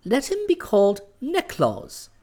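Man putting on a playful nymph queen, high, animated voice. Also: unhurried, full character voice.

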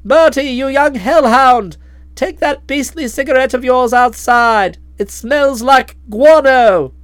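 A woman voicing a bluff, hearty middle-aged English aunt who speaks loudly and bluntly in an audiobook. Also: slow pace, dry recording. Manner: loud, booming and blunt.

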